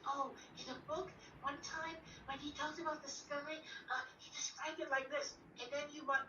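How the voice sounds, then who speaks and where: Female teacher, informal and encouraging with kids, highish bright voice, slightly muffled online-class audio. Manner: encouraging, explanatory. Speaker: elementary school teacher. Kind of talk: informal classroom talk to children. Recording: roomy, distant, dull video-call sound, faint noise.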